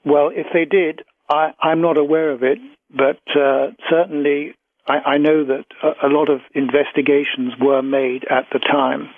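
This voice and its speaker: A man speaking as a guest in a radio interview, earnest and halting, often repeating words. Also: a little high, little pitch movement, no room sound. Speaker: interview guest, author and researcher. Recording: tinny, narrow phone-line radio audio.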